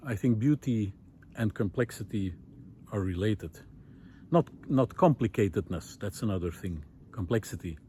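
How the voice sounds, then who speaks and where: A man talking reflectively while walking on a forest path outdoors, pausing often with fillers and lifting his voice on key points. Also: fairly low pitch, animated, mellow, slight background noise. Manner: thoughtful, many pauses and fillers, rising emphasis on key points. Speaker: nature video essayist.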